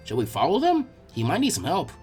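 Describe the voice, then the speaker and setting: A man's voice: a little high, huge pitch swings, fairly quick, darkish tone, breathy edge, soft background music, exaggerated voice. A man voicing a cheerful, easygoing young ninja boy in a fan fiction reading, friendly and plain-spoken. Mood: curious.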